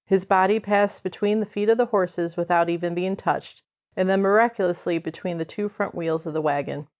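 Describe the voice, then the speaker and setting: A woman's voice: lowish voice, a little fast, a little mellow, close to an everyday voice. A woman reading scripted dramatic narration as the narrator of an old time radio show. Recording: dull lo-fi sound.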